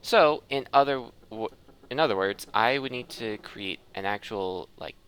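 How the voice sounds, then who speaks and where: A man's voice: on the low side, unhurried, fairly bright tone, smooth. A man giving casual gameplay commentary, rambling and thinking aloud as he plays. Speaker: gaming video host.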